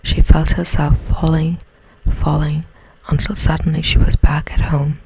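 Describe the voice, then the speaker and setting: Female ASMR storyteller; slow, very breathy whisper, gentle and soothing. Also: low voice, flat delivery, low-quality recording. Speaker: bedtime story narrator.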